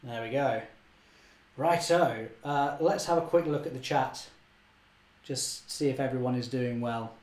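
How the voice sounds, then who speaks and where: A young man, slightly hoarse, chatting cheerfully through a live model railway session and correcting himself often. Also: mid-range voice, measured pace, darkish tone, reverberant. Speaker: model railway channel host. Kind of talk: live-stream running commentary.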